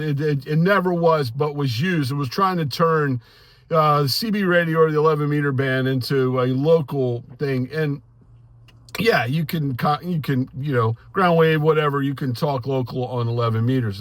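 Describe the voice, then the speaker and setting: An American man in his sixties giving an informal, earnest opinion monologue in a plainspoken, halting way, often repeating words. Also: fairly high pitch, fairly bright tone, no room sound. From United States. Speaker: amateur radio hobbyist giving commentary.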